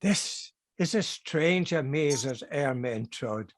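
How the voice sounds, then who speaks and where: A man's voice: fairly high pitch, expressive, takes it slowly, no room sound. A man playing an astonished king in a Shakespeare play reading. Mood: amazed.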